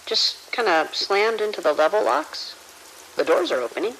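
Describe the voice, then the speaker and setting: Casting: actress as uneasy female night guard for horror audio drama, halting and stammering, mid-pitched, faint hiss and rain behind. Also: animated, creaky, a bit bright, poor audio. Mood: uneasy, rattled. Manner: hesitant, stammering delivery. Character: nervous, uneasy security officer on night shift. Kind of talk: immersive audio drama acting.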